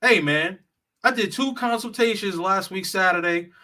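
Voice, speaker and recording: A man's voice: high-pitched, moderate pace, sharp tone. A man who works as a travel consultant, giving a blunt, profane, lecturing monologue straight to the viewer. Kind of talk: heated straight-talk monologue.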